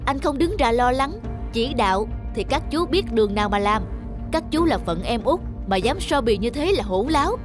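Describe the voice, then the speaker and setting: A man voicing a bossy, self-important young eldest brother in an animated folk tale, authoritative and self-righteous. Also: very high voice, normal speed, over music. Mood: indignant.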